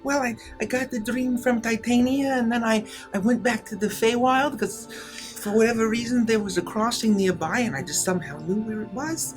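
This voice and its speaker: A woman's voice: on the high side, normal speed, soft background music, natural voice, poor audio. A woman voicing a tiny, naive, flustered pixie with a sneaky streak, hesitant and stammering, in a tabletop game. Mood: sheepish. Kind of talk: improvised tabletop roleplay.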